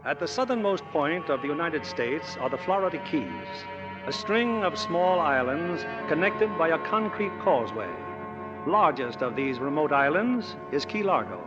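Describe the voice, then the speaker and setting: Theatrical, lively 1940s radio host, male. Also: a little high. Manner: formal, theatrical, measured. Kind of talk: 1940s scripted radio narration. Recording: dull vintage radio recording with music.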